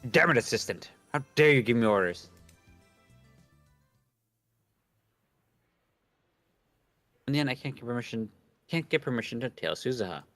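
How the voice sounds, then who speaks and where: A man's voice: medium pitch, lively intonation, fast talker, a bit bright, no room sound, soft background music, lightly acted. A man voicing a pompous, scheming young self-styled mad scientist from a visual novel, declaiming grandly. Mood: indignant, scheming. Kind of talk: reading visual novel dialogue aloud.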